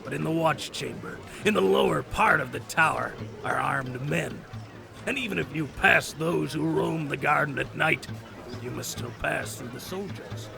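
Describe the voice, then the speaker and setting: A man playing a boastful, lecherous fat rogue with a gravelly voice and bawdy, mocking bluster in a fantasy audio drama. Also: a little high, moderate pace, music in the background. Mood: scornful mocking.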